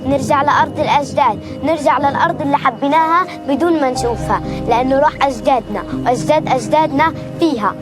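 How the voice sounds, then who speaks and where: A woman's voice: high-pitched, rapid-fire, hardly any pauses. A female voice with a Palestinian Arabic accent, delivering an impassioned scripted recitation. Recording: loud music behind.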